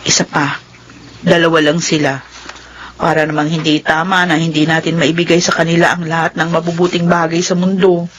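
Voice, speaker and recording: A woman's voice: sits low, little pitch movement, fairly quick, slight background noise, close to an everyday voice. A woman playing a hopeful, weary working mother of twins in a radio drama, earnest and motherly. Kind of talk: naturalistic radio drama acting.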